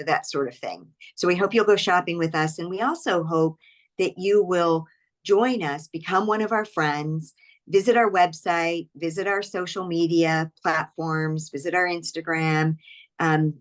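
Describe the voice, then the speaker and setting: A woman hosting an online book club for a nonprofit, introducing the guest in a friendly way with frequent ums and repeated words over a video call. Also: lowish voice. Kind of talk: introducing a speaker at an online event.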